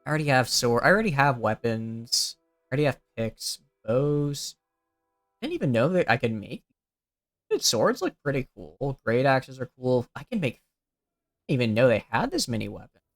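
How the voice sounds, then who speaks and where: A man's voice: mid-range voice, very animated, moderate pace, a bit bright, dry recording, soft background music. A young man streaming a game, chatting casually and rambling as he thinks aloud, with a youthful-sounding voice. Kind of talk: casual gameplay commentary.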